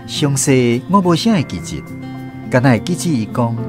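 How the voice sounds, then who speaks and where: A man's voice: mid-range voice, expressive, moderate pace, music in the background, lightly acted. A man with a Taiwanese accent playing a grown man who narrates fond memories of his country childhood in a radio drama. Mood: reminiscing.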